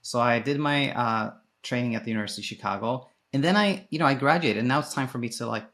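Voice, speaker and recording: A man's voice: mid-range voice, fast, a bit bright. A man from Sweden who works as a sleep coach, answering interview questions in an informal, explanatory way and rephrasing as he goes. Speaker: sleep coach and former sleep physician, podcast guest.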